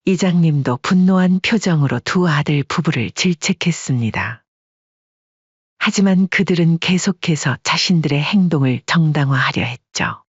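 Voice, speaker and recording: A man narrating a family drama story in an even, dramatic storytelling manner. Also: a little high, normal speed, slightly rough, no echo. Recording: dull lo-fi dry sound.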